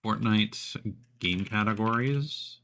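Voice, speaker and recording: A man giving casual, explanatory livestream commentary on a video game, thinking aloud with hesitant pauses. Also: on the low side, fairly bright tone. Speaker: video game live streamer. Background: music behind the voice.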